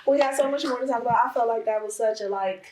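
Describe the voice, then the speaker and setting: Hoarse woman hosting a roundtable chat. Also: fairly high pitch, fast talker, over a noisy crowd. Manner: reflective, searching for words. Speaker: podcast host.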